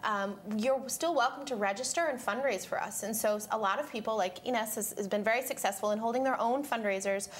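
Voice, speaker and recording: A woman's voice: fairly high pitch, brisk, hardly any pauses, a little hiss or hum. Upbeat woman charity organizer. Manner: fluent, upbeat, filler "um". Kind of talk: explaining informally. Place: TV studio.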